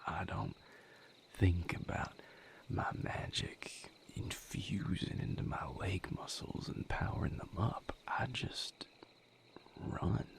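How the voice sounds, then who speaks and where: A man playing a gentle, patient vampire healer in an intimate audio roleplay, speaking softly and reassuringly in breathy vocal fry with long pauses. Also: low-pitched, exaggerated voice. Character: gentle, patient male vampire healer mentoring his mate.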